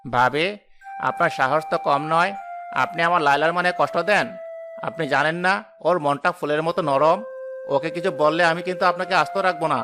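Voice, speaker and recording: A man's voice: fairly high pitch, a little fast, over music, close to an everyday voice. A man playing a hot-headed, doting younger brother in a melodramatic cartoon, indignant and threatening. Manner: aggressive, indignant. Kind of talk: melodramatic cartoon story dialogue.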